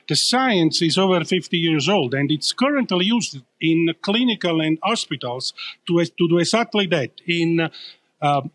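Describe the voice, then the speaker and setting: A man's voice: high-pitched, a bit bright. A man with an Italian accent, explaining his company as a founder being interviewed, in an emphatic, explanatory way. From Italy.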